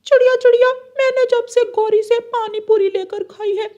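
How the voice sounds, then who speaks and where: A man voicing a hungry, pleading male parrot in a children's cartoon, with a squeaky delivery. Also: fairly even intonation, normal speed, darkish tone, highs cut off. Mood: distressed. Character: hungry, complaining male parrot with a big beak.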